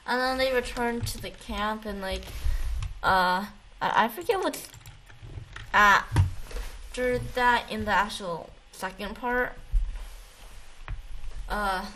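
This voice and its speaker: Woman chatting haltingly over noticeable background noise. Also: mid-range voice, pushed and bright. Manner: halting, rambling retelling with repeated false starts. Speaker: co-host recapping a video game story. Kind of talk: casual chat. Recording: clearly noisy recording with background ambience.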